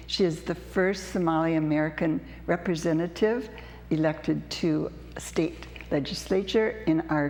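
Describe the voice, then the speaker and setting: A woman hosting a studio interview show, introducing her guest and asking questions in an unhurried, conversational way. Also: lowish voice, a little mellow, in a large hall. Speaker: talk show host.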